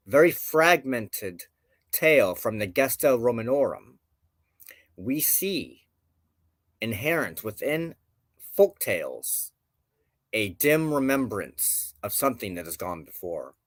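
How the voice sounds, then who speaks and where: A man hosting a show, giving reflective commentary on folklore and myth with deliberate, emphatic phrasing. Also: mid-range voice, sing-song, dry recording. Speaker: show host.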